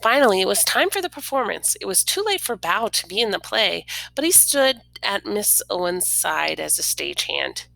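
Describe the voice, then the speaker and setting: A woman reading a children's picture book aloud expressively, pausing to explain things simply and informally to young listeners. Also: medium pitch, big pitch swings, vocal fry, bright, forceful tone, dry recording, low-quality recording. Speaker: read-aloud storyteller.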